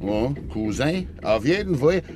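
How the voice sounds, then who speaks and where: An actor playing a stubborn, breezily evasive middle-aged farmer in a Bavarian dialect radio play. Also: male voice, mid-range voice, big pitch swings, unhurried. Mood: breezy, stubborn. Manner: breezy, evasive, talking past others. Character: stubborn older farmer father, cheerfully denying his son's death. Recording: noisy 1950s radio play recording.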